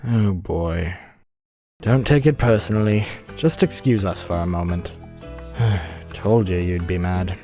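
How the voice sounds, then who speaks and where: A woman voicing an easygoing, apologetic skeleton with a calming manner in a comic dub. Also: a bass voice, slow. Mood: weary, placating. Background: music.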